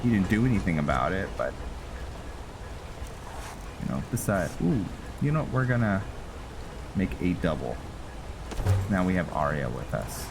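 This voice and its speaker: A man giving casual gameplay commentary as the host of a playthrough, halting as he thinks out loud. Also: deep voice, very animated, vocal fry, background noise. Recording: music behind the voice. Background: game music.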